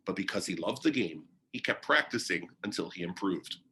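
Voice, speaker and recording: A man reading a children's biography aloud in deliberate, short phrases with pauses, like a teacher sharing a picture book. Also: deep voice, animated, pushed and bright, slight background noise.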